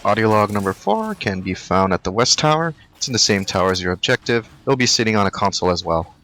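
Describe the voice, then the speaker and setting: A man narrating a video game walkthrough, giving brisk, matter-of-fact directions to collectibles. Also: on the low side, lively intonation, sharp tone. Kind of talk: walkthrough voice-over.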